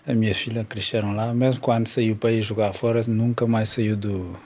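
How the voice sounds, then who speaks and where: A man from Cameroon, a former footballer chatting as a podcast guest, speaking calmly and hesitantly, with fillers and soft laughs. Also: fairly low pitch, brisk. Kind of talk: casual chat.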